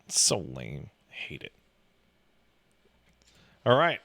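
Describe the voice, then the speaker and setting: A man's voice: rumbling low, huge pitch swings, slow pace, creaky, pushed and bright, dry recording. A man giving casual, sarcastic and self-deprecating commentary. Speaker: video essayist, host.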